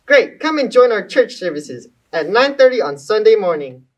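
A woman's voice: medium pitch, sing-song, normal speed, lightly acted. A woman voicing an earnest, friendly puppet who preaches the gospel in a scripted children's show. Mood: upbeat, inviting.

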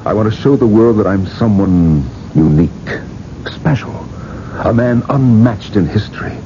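An actor playing a vain, imperious rich industrialist in a radio drama, insistent and self-important. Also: male voice, on the low side, normal speed, warm tone, a little hiss or hum, natural voice. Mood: proud. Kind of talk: 1980s radio drama acting and narration. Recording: muffled old radio recording with music.

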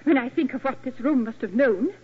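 A prim spinster on old radio, lively swooping voice, tinny and scratchy. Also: female voice, high-pitched, a little fast, breathy edge, full character voice. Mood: gushing, flattering. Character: formidable, unflappable English spinster, prim and bold. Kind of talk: old-time radio acting.

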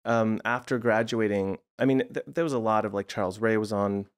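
A man in his forties with a lowish voice, raised in Los Angeles, whose first language was Croatian. He talks as an interview guest, reflective and meandering, thinking aloud and circling back with plenty of fillers. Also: steady tone, a little fast, clean tone. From United States (Los Angeles area). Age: 40s. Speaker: guest, art therapist. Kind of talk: casual conversational interview.

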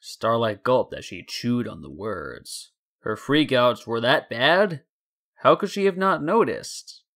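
A man's voice: mid-range voice, vocal fry, a bit bright. A man narrating a scripted story in a dramatic reading style. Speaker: narrator and fan fiction reader.

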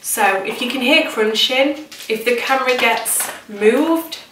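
A woman's voice: fairly high pitch, measured pace, fairly bright tone. British woman chatting in an echoey room. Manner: chatty, informal. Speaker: home vlogger. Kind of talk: casual chat to camera. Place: indoors in a home kitchen and small utility room. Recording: echoey room, distant mic, noisy background.

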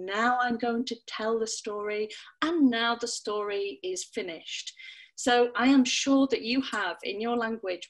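A middle-aged woman talks parents through storytelling ideas over a laptop webcam from a small room at home. Her voice sits in an ordinary middle register for a woman but is expressive and playful, jumping up high to stress a word. It sounds like a friendly online workshop. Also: poor audio. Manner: expressive, playful, leaps up in pitch for emphasis. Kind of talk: online parenting webinar talk. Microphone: laptop webcam, video call.

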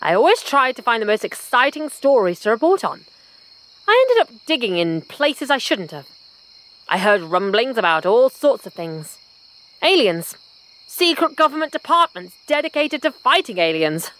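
An actress playing a curious, adventurous journalist in an audio drama. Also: female voice, on the high side, very animated, normal speed, a bit bright, rough recording. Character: Eleanor Agnew, curious adventurous female journalist.

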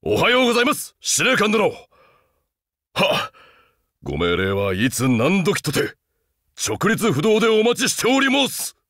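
Game voice work of a huge, loyal beast-man soldier with a haunted, humble heart. He speaks in stiff, old-fashioned military formalities, with earnest intensity. The pitch sits fairly high for a man and swings dramatically, in a very dry recording. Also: huge pitch swings, a little slow, long pauses, a bit bright, dry recording, heavily acted. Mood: stiff, dutiful. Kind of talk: Japanese game character voice acting.

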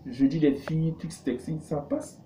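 A man's voice: fairly high pitch, fairly even intonation, normal speed. A middle-aged man talking as a coach, explaining conversationally with examples and rhetorical questions. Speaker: business coach and consultant. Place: small indoor studio office. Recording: muffled, dark, noisy room recording.

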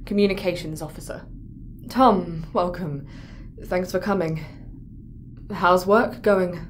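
An actress playing a patient, formal crew psychologist, measured and professional, in an audio drama. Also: female voice, fairly low pitch, a little hiss or hum. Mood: patient, probing.

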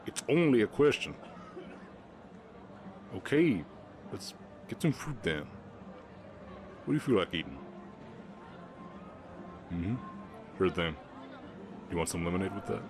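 Male actor as a low-voiced orc cowboy, bashful, slow, slight Southern drawl, roleplay audio, faint outdoor noise. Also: animated, darkish tone. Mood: friendly. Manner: hesitant, stammering, bashful. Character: shy, gentle lonesome orc cowboy, big and strong. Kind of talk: roleplay audio drama, soft ASMR acting.

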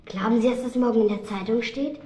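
An actress playing an eager child in a radio play, telling things in a chattering, childlike way. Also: female voice, fairly high pitch, fast, warm tone, echoey room, close to an everyday voice, poor audio. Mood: excited. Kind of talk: German radio play dialogue.

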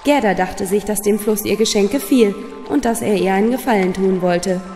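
A woman's voice: mid-range voice, moderate pace, mellow. A woman storyteller reading a scripted fairy tale for children. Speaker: storyteller, narrator. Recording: long reverb, music underneath. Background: music.

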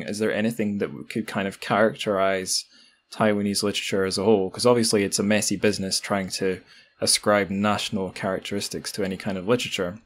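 A male podcast host with a fairly low voice discusses books in a thoughtful way. He feels his way towards the right word, reflective and conversational.

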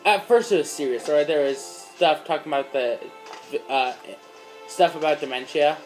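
A man's voice: a little high, moderate pace, soft background music. A teenage boy casually commenting on his gameplay, yelping when he gets hurt, filmed by a phone in a dim room. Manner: excitable, yelps when in danger. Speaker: let's play host. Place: dim room in front of a screen.

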